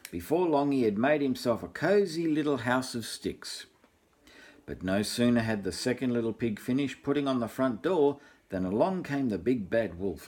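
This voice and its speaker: An older man reading a children's story as a grandfather, expressive and talking directly to young children. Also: medium pitch, slightly rough.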